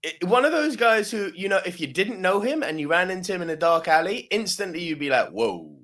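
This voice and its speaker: A man's voice: high-pitched, big pitch swings, brisk, a bit bright. A man co-hosting a podcast, telling a long story casually, swearing freely, laughing often and asking "yeah?" as he goes. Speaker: podcast co-host.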